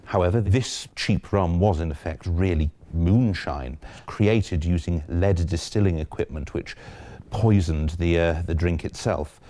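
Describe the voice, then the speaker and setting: A man speaking in a documentary interview, deliberate and dropping frequent fillers. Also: low-pitched, mellow.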